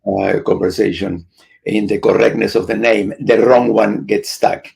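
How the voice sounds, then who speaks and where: An older man from Argentina, speaking slowly and precisely as a guest. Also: fairly low pitch, flat delivery, vocal fry, darkish tone. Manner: slow, precise, insistent. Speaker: guest artist.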